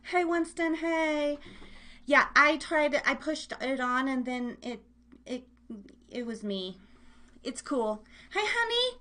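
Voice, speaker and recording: High-voiced woman hosting a chatty live stream. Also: animated, slow pace, sharp tone. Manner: chatty, scattered, addresses listeners directly. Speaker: host of a live internet radio show. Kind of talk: casual live-stream chat. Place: indoors at home.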